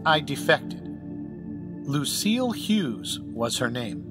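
An actor playing a wise, secretive old space admiral in an audio drama, speaking as a measured, formal, earnest mentor. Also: male voice, medium pitch, big pitch swings, over loud music. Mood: wistful.

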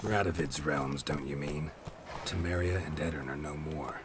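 Weary game monster hunter, super deep gravelly hushed voice, terse n dry, noisy background. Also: male voice, moderate pace. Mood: deadpan. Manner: terse, deadpan. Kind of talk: video game cutscene acting. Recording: game audio with clear background noise.

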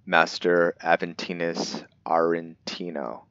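Male gaming host, low-pitched voice, casual playthrough narration, slightly dull lo-fi mic. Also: level tone, takes it slowly, fairly bright tone. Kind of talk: casual game commentary.

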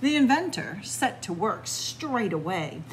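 A woman storyteller reads a picture book aloud to children in an expressive manner, recorded indoors in a library room. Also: mid-range voice, a little slow, rough recording. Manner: expressive, addressing young listeners as friends. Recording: clear background room noise.

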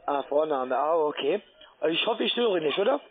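A man with a foreign accent making a halting, ungrammatical sales pitch as a call centre account manager. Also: expressive, slight background noise. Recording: band-limited, tinny phone line.

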